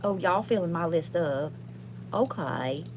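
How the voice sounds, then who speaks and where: A woman's voice: on the low side, expressive, measured pace, background noise. Woman putting on a brassy Southern trailer park queen. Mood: sassy, advising. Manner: folksy, sweary, chatty, calls listeners honey and y'all. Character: brassy, foul-mouthed, kindhearted trailer park queen fortune teller. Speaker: livestream tarot reader. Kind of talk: casual comic live card reading in persona.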